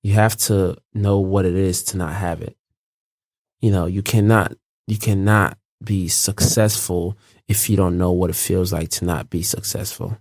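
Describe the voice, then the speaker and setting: A young man from Los Angeles talks in an unhurried, rambling way, often circling back and restarting his thoughts. His voice sits deep and low. The sound is dry and close, with no room around it. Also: fairly even intonation, a little mellow, no echo. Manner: laid-back, rambling, frequent fillers and restarts. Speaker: guest. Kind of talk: casual interview chat.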